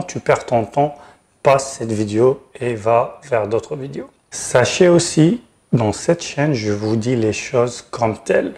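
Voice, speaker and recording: Male advice-channel host, mid-pitched. Frank, direct consultant delivery with emphatic key words. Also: a little mellow. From Tunisia. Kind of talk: explanatory advice video to camera.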